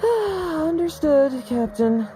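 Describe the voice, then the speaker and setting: A woman playing a wary young male knight in game dialogue, with a dry, low-key and skeptical way of talking. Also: big pitch swings, extremely slow, over music, heavily acted. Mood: dry, bemused.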